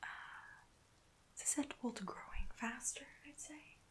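Whispering young woman, soft ASMR. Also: high-pitched, a little slow. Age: young adult. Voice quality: breathy whisper. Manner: gentle, reassuring, softly hesitant. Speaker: ASMR roleplay host as hairdresser. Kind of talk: whispered ASMR personal-attention roleplay. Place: indoor room at home.

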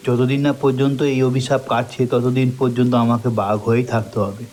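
A man's voice: mid-range voice, flat delivery, normal speed, a little mellow, soft background music, close to an everyday voice. A man voicing a cursed prince turned tiger, an earnest and grateful friend, in a formal cartoon storybook manner. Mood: grateful, solemn.

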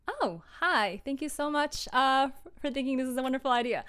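A woman's voice: sits high, lively intonation, a little fast, a bit bright, clean tone. A young adult woman giving an informal, chatty livestream tutorial from a room at home, with a self-deprecating, giggly manner. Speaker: audio drama creator and livestream host. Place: small home room, indoors.

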